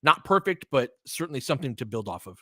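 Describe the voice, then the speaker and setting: A man's voice: medium pitch, very animated, fast talker, sharp tone, no room sound, studio quality. A man giving live basketball play-by-play mixed with casual, long-winded analysis in a conversational, explanatory way.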